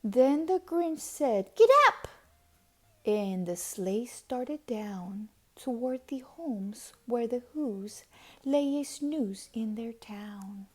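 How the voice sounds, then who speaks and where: A woman reading a children's storybook aloud in an expressive, deliberate, dramatic way, hushed at times. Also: on the high side. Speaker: storybook reader.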